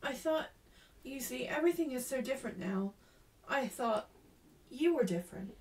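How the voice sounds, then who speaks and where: A woman's voice: sits high, animated, normal speed, far from the mic, voice pushed up. A woman playing a proud, sensitive, wounded schoolgirl from a storybook, speaking in an earnest, guarded way. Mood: earnest, explaining.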